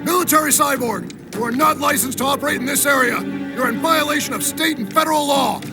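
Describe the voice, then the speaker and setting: An actor playing an officious, threatening cyborg police officer in a video game, with formal procedural delivery. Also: male voice, extremely high pitch, a little fast. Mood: stern, threatening. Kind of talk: video game cutscene acting. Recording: distant voice under loud music.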